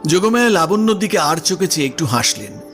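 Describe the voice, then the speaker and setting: A man's voice: on the high side, big pitch swings, fast, fairly bright tone, soft background music. A man reading literary prose as the narrator of a Bengali audio story, in a scripted storytelling style.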